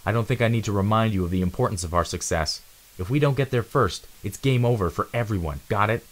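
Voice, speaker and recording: A man's voice: fairly low pitch, fast, lightly acted. Man playing a firm, commanding mouse squad leader. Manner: authoritative, firm.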